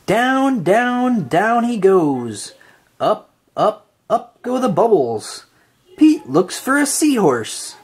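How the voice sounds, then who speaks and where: A woman reading a children's picture book aloud with slow, expressive, sing-song delivery. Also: medium pitch, slightly rough. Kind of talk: read-aloud storytelling for kids.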